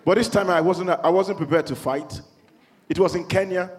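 Jovial Nigerian-accented preacher. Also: male voice, high-pitched, moderate pace. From Nigeria (born in London). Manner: humorous storytelling, animated, working the congregation. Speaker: pastor. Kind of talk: sermon. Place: church hall.

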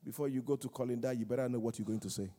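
A man's voice: medium pitch, fairly even intonation, rapid-fire, mellow. A man preaching a sermon in a church, repeating key phrases in a rhythmic call-and-response way. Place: large church hall. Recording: long church hall reverb.

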